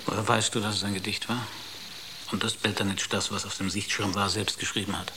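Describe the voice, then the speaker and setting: A man's voice: fairly low pitch, flat delivery, fairly quick, natural voice. A man playing a cautious, concerned spaceship crew member in a naturalistic radio drama, restrained and probing. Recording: noisy radio drama recording.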